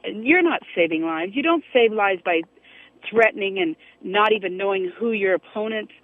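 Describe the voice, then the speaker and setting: A woman's voice: mid-range voice, animated, moderate pace, no room sound, phone-quality sound. A woman from Alaska calling a radio show on a cell phone, indignant and challenging as she argues. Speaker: caller. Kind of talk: radio call-in argument.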